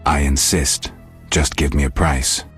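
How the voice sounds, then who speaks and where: Very deep, husky stoic monster hunter. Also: male voice, measured pace, faint music behind, full character voice. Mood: deadpan, guarded. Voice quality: gravelly, husky. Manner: terse, flat, deadpan. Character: stoic, gruff adult male monster hunter. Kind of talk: video game voice acting.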